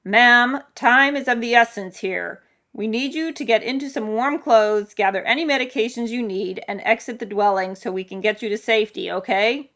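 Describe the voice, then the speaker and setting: A woman's voice: a little high, expressive, a little fast, few pauses, sharp tone, voice pushed up. A woman voicing a firm, polite male emergency rescuer with a formal, urgent manner in a story reading. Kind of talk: audiobook character voice.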